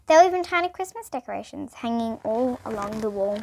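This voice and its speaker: High-voiced girl reading a bedtime story. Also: brisk, creaky, slight background noise, low-quality recording. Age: child. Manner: expressive, with small stumbles. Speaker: storybook reader. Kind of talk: children's bedtime story read aloud.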